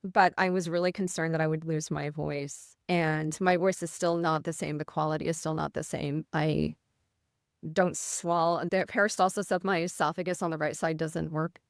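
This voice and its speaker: A middle-aged woman nurse with a Midwestern American accent, speaking with a voice that can sound slightly rough, telling a personal story in an interview. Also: sits low, steady tone, moderate pace, dry recording, clean studio sound. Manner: earnest, vivid retelling with quoted inner thoughts. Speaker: nurse, podcast guest.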